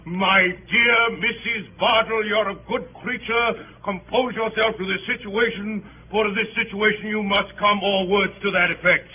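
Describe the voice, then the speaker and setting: An actor playing a pompous, bullying barrister in a 1930s radio drama, theatrical, sarcastic and hectoring. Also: male voice, high voice, fairly even intonation, unhurried, hardly any pauses. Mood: hectoring, scornful. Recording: tinny, noisy 1930s radio broadcast.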